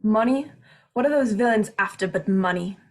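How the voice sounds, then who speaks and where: A woman playing a pompous, excitable country squire in a radio play, with emphatic old-fashioned diction. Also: mid-range voice, normal speed, close to an everyday voice. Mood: hearty, bombastic.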